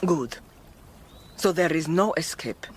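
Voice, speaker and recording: An actress playing a world-weary, brooding countess in a radio drama, resigned and grave in manner. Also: female voice, low voice, animated, slow, a little hiss or hum. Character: world-weary Italian countess confessing to a murder.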